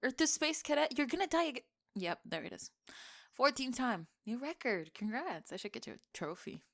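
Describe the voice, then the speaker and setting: A woman's voice: a little high, moderate pace, gravelly, exaggerated voice. Woman acting sarcastic, teasing young tomboy friend. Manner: sarcastic, teasing, expressive with sighs and laughs. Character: teasing, sarcastic college-age tomboy gamer friend, flustered underneath. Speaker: ASMR roleplay voice actor. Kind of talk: acted ASMR roleplay, one-sided conversation.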